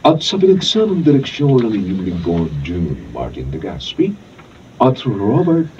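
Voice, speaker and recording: Slow, sweeping Filipino male announcer. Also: on the low side, huge pitch swings, a little mellow. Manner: dramatic, measured announcer delivery. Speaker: radio drama narrator and director. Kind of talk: scripted radio drama narration and closing credits. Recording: distant, dull, noisy studio room recording.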